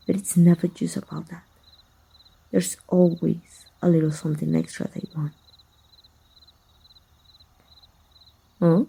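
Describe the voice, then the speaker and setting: Villainess, played by a woman: possessive, teasing, breathy ASMR roleplay delivery, lowish pitch, unhurried pace. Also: mellow, heavily acted, rough recording. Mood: possessive, jealous. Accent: Mexican. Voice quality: husky, breathy. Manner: slow, breathy, teasing murmur. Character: jealous, seductive female villain, possessive and teasing. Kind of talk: intimate ASMR roleplay acting.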